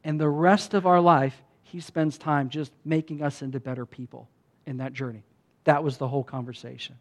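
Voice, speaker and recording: A man's voice: on the high side, fairly even intonation, moderate pace. A man from the United States, a pastor, telling a story in a sermon and acting out the lines of the people in it. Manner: conversational, acts out quoted dialogue.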